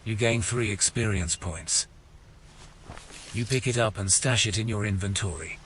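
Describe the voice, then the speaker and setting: A man giving scripted narration for a fantasy audio game in a measured storytelling manner. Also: on the low side, a bit bright, a little hiss or hum. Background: music.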